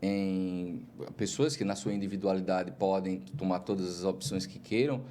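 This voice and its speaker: A man from Brazil hosting a podcast interview, asking measured, leading questions with some hesitant starts. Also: low-pitched, fairly even intonation, mellow, a little hiss or hum. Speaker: podcast host.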